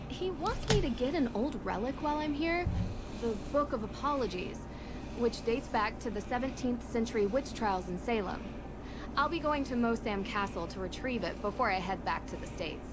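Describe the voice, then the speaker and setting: A woman's voice: fairly high pitch, animated, normal speed, background noise, lightly acted. An actress voicing a curious, upbeat young woman detective in a mystery game. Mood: reading a letter. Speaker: game character. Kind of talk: voiced game dialogue.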